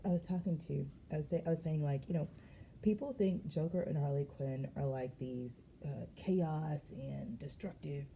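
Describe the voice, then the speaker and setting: A woman's voice: deep voice, slight background noise. A woman tarot reader talking in a slangy, emphatic way during a casual spiritual reading. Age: adult. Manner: lively, slangy and emphatic, with frequent laughs.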